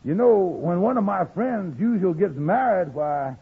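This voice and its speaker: Nosy, loyal pal in vintage radio comedy: croaky, swooping delivery, folksy repetitions. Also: male voice, high-pitched, expressive, heavily acted. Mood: curious, chatty. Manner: folksy dialect grammar, drawn-out repetitions. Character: excitable, nosy, good-hearted man, loyal friend. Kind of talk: 1940s radio comedy acting. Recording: muffled vintage radio recording.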